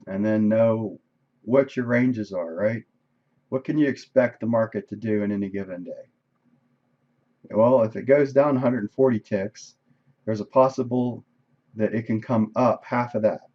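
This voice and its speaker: Man, hoarse, casual trading recap, thinking aloud, lowish voice, muffled lo-fi audio. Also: moderate pace. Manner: conversational, thinking aloud, frequent "right?". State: losing his voice, coughing. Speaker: day trader reviewing a live session. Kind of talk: casual screen-share trade recap. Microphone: desk microphone.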